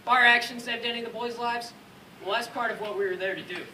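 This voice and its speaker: A man's voice: very high-pitched, fairly even intonation, fast, bright, forceful tone, heavily acted. A man playing a dutiful American Second World War paratrooper, recounting combat in an earnest, rehearsed dramatic narration. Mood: sober, matter-of-fact. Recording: echoey room from far off, faint noise.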